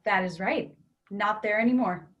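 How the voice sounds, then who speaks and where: A woman reading the role of a calm adult in a play reading, husky and matter-of-fact. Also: mid-range voice, moderate pace, muffled recording, natural voice. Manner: matter-of-fact, plain, flatly agreeing. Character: calm adult person who rearranged room. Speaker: actor reading first character. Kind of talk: readers theatre play reading.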